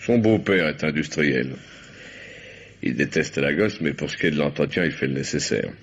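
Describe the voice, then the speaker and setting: An actor playing a cynical, bullying drug dealer who schemes in slangy, domineering talk, in a French radio crime drama. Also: male voice, rumbling low, expressive, moderate pace, husky, heavily acted. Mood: cynical, scheming. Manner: slangy, domineering, falsely soothing. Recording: dull, lo-fi old radio recording.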